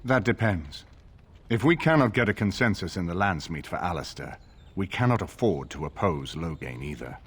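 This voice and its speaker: An actor playing a grave older nobleman in a fantasy video game, speaking formally and with measured authority. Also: low voice, expressive, breathy edge, full character voice. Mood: firm, grave. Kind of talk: video game dialogue acting.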